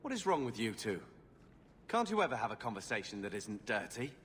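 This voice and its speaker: A man's voice: on the low side, very animated, a little fast. A man playing a sceptical, disapproving adventurer in video game dialogue. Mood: exasperated.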